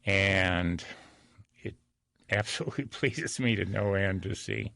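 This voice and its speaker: A man's voice: sits low, measured pace, gravelly, fairly bright tone, no echo, clean studio sound. An older man speaking as an interview guest, telling anecdotes in a folksy, self-deprecating way. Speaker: interview guest, real estate broker.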